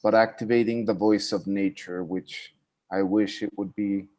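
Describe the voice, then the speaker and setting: A man introducing himself in an online meeting, unhurried and thoughtful. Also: lowish voice, fairly even intonation, darkish tone.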